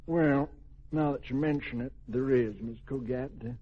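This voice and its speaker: An actor playing an eccentric, boastful, lonesome old general in a 1940s radio comedy, with folksy, rambling, blustery talk. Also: male voice, medium pitch, moderate pace, natural voice. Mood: sheepish, forlorn. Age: older. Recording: dull vintage radio recording, audience, hiss.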